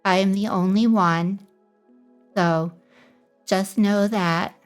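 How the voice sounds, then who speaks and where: This is a woman streamer introducing herself in a relaxed, meandering way, with frequent pauses between her thoughts. Her pitch sits in the middle range and she speaks at a very slow pace. Faint background music plays underneath her voice. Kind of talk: casual self-introduction stream.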